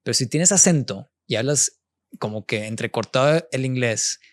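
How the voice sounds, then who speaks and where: A man, a guest architect telling stories in a relaxed interview chat. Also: medium pitch, moderate pace, dry recording. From born in the United States, raised Mexican. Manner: relaxed storytelling, mixes in English words. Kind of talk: casual interview conversation.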